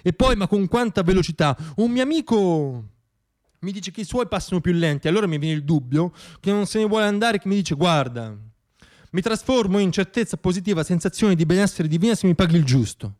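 A man performing a theatrical radio monologue in an expressive, declamatory way, moving between poetic reflection and wry irony. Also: sits high, brisk.

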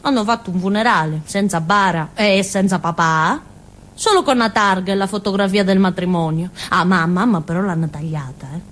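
An actress playing a naive, childlike village simpleton girl with a Calabrian accent, in a halting, repetitive theatre monologue. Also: medium pitch, fairly bright tone, close to an everyday voice. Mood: earnest, simple. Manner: simple, repetitive, halting, with questioning tags and giggles. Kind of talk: theatrical monologue in colloquial dialect-tinged Italian.

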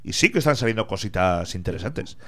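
A man's voice: lowish voice, animated, fast talker, fairly bright tone. A man hosting a radio show, chatting casually and enthusiastically about metal bands in loose, rambling phrases.

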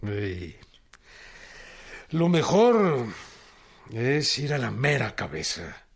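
An actor playing a wary, taciturn peasant leader with a Mexican accent, hesitant and grunting in reply, in a radio drama. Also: male voice, mid-range voice, wildly swooping pitch, slightly rough, full character voice. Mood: wary, pondering. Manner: slow, hesitant, grunting replies.

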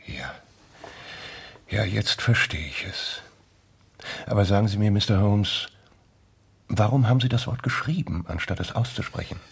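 Stern older colonel, anxious father, lowish voice, heavily husky and breathy, vintage radio play. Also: expressive, moderate pace, pauses often, exaggerated voice. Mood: grave, subdued. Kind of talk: 1960s German radio drama acting.

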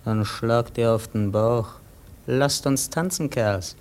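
An actor in a radio drama playing an excitable sailor who calls out urgently to his mates. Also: medium pitch, takes it slowly, slight background noise, natural voice. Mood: jaunty urging. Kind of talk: German radio drama acting.

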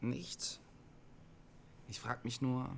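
An actor playing a lonely, troubled young radio operator in a German radio drama, terse and brooding. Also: male voice, medium pitch, flat delivery, extremely slow, gravelly, background noise. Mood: uneasy. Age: young adult. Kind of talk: German radio drama acting, intimate narration and dialogue.

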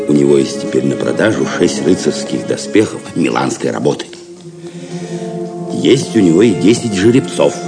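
Very deep-voiced grave medieval benefactor. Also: male voice. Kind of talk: theatrical audio drama acting. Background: music.

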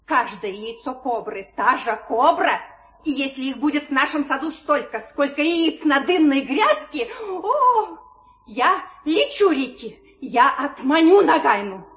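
A woman playing a sensible, brave mother songbird, brisk and theatrical, in an audio fairy tale. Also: high-pitched, lively intonation, fairly bright tone. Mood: brisk, scolding. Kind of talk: Soviet audio fairy-tale acting on record. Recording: muffled old vinyl record.

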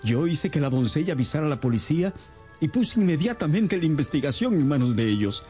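An actor playing a distressed, respectable middle-aged father, an agitated and earnest gentleman in a radio drama. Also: on the high side, big pitch swings, brisk. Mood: anguished narrating. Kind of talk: Spanish-language radio drama acting. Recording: music under the voice.

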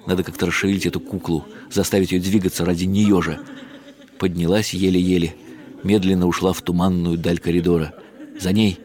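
Man, literary narration, first person, measured, wry, very low pitch. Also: level tone, darkish tone.

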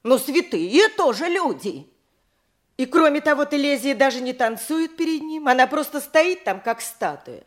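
An actress playing a poor donkey driver's shrewd, long-suffering wife in an audio play, theatrical and declamatory, plaintive yet knowing. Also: female voice, high-pitched, animated, moderate pace. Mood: shrewd, persuasive. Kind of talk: audio play stage acting.

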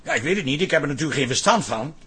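A blunt, easygoing family man in a radio play. His voice is high and extremely rough and husky. It moves in lively swoops. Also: racing, full character voice. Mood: tactless. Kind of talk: Dutch radio drama acting.

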